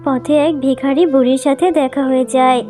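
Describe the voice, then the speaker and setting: A woman's voice: high-pitched, level tone, a little fast. A woman giving scripted narration for a children's cartoon story. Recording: music playing behind the narration.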